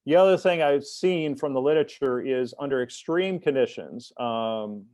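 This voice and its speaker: Male expert presenter, middle pitch, halting and filler-heavy, dead dry recording. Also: a little mellow, no echo. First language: English. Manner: halting, many fillers and restarts. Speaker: expert speaker in a webinar. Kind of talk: technical presentation.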